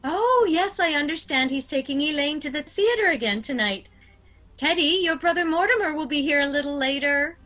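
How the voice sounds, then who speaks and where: A sweet old spinster aunt, played by a woman in a radio play. She is genteel and doting, with a bright, high voice that swoops in fluttery, fussy swings. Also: lively intonation, moderate pace. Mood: doting, chatty.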